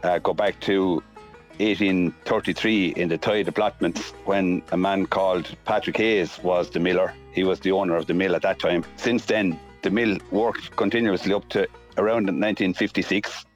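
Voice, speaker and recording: A man's voice: low voice, fairly even intonation, normal speed, faint music behind. An Irish-accented man from County Kilkenny, recounting local history as a guest in a spoken interview. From County Kilkenny, Ireland. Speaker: interviewee, mill restorer.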